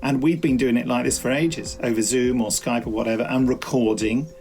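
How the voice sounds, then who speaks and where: A man's voice: mid-range voice, steady tone, a little fast, darkish tone. A man speaking casually, as an audio drama director keeping a behind-the-scenes video diary.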